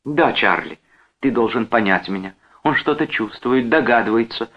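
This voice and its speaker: A man's voice: medium pitch, animated, moderate pace, highs cut off, voice pushed up, close to an everyday voice. A man voicing an anxious, protective young wife with a pleading, whining, agitated delivery in an audiobook. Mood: desperate insistence.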